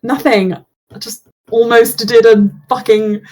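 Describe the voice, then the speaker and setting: A young woman running a tabletop game as game master, chatty and quick to laugh, often breaking off mid-sentence. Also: fairly high pitch, steady tone, crowd noise, rough recording.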